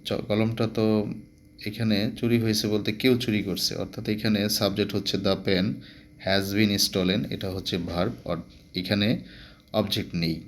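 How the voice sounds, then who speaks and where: Deep-voiced Bangladeshi man teaching. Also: steady tone, a little slow, slight background noise. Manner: explanatory, mixes English terms into speech. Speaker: English grammar teacher. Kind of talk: instructional grammar lesson, step-by-step explanation.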